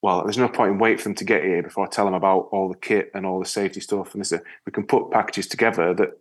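A man from Yorkshire with a northern English accent, talking casually as an interview guest in a rambling, halting way with repeated words. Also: sits low, fairly even intonation, husky. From Yorkshire, England. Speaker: interview guest, ocean rower and spearfisher. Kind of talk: casual conversational storytelling.

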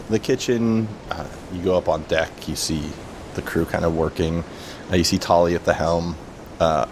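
A man improvising narration as game master, casual and halting as he sets out each scene. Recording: clear steady rumble behind voice.

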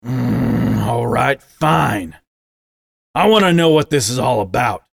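A man doing a gruff, stern old wolf father. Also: a little high, expressive, slow, darkish tone, no echo, studio quality, full character voice. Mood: accusing. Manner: gruff, accusing, raised voice.

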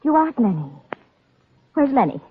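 A woman's voice: sits high, very animated, normal speed, slightly rough, heavily acted. An actress playing a flighty, haughty rich society woman with fluttery, affected chatter in a 1940s radio drama. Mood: impatient, flustered. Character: flighty, haughty wealthy society widow, female. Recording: tinny band-limited 1940s radio broadcast.

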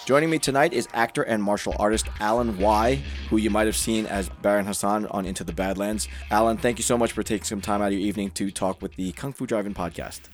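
A man hosting a podcast interview, asking his questions in a brisk, friendly way. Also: fairly low pitch, steady tone, soft background music. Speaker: podcast host.

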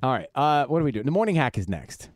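A man's voice: mid-range voice, big pitch swings, fast talker, a little hiss or hum. A man hosting a morning radio show, chatting casually and teasing his co-hosts with frequent laughs.

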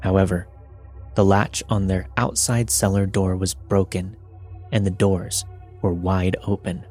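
A man's voice: deep voice, level tone, unhurried, darkish tone, slightly rough, soft background music. A man hosting a podcast and reading a scripted true horror story as narrator.

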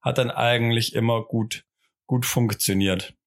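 A man's voice: mid-range voice, fairly even intonation, measured pace, creaky. A man co-hosting a casual remote podcast, rambling and joking, often breaking off mid-sentence. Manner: rambling, self-interrupting, joking. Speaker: podcast co-host.

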